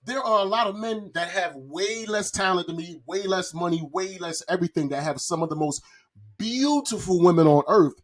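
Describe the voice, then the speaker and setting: A man's voice: high-pitched, normal speed. A man in his forties chatting casually on a podcast, speaking candidly and loosely in slangy, rambling phrases. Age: 40s.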